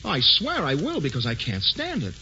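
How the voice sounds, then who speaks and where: A brash, wisecracking newspaper reporter in a 1940s radio play. His tone is bright and sharp, his voice a little higher than most, and his pitch leaps all over. The old broadcast sounds a touch dull, with faint hiss. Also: huge pitch swings, normal speed, heavily acted. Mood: pleading. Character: brash fast-talking male newspaper reporter. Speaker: lead actor.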